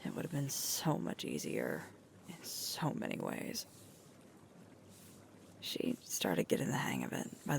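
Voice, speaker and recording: A woman playing a sweet, tired alpha werewolf girlfriend in an intimate roleplay ASMR, murmuring softly and breathily close to the microphone. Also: very deep voice, slight background noise, exaggerated voice. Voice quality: breathy, creaky, often whispering. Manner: slow, soft, unhurried intimate murmur with pauses.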